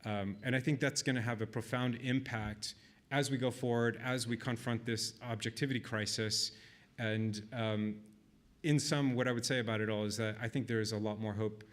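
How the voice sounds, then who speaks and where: A man's voice: medium pitch, fairly even intonation, normal speed. Israeli-raised man giving a panel talk. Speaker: panel speaker. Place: conference hall.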